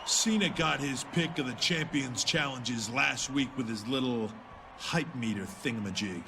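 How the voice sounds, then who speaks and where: Brief: man voicing a smug game wrestler, mid pitch, off-mic, clear arena ambience. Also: normal speed, recorded from a distance, noisy background, natural voice. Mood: dismissive. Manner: dismissive, sneering. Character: smug veteran male wrestling champion.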